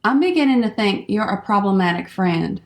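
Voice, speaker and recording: A woman's voice: medium pitch, lively intonation, a little fast, close to an everyday voice. A woman playing an uneasy, sensible young friend in comedy radio theatre, speaking in a hesitant, earnest way on a roomy recording. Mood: awkward, disapproving.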